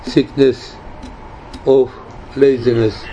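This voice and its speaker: A very old man in his nineties gives an informal spiritual talk in a soft-edged, mellow voice. He speaks very slowly and haltingly, repeating phrases for emphasis. The recording is slightly muffled and lo-fi, with faint noise behind him. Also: medium pitch, fairly even intonation. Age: 90s.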